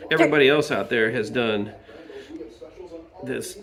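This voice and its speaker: Gravelly-voiced dad tinkering in garage. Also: male voice, mid-range voice, a little slow, fairly bright tone. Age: adult father. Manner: chatty, jokey, talks to himself while working. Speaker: hobbyist repairing a kids' electric quad. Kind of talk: casual workshop chat while working. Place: home garage.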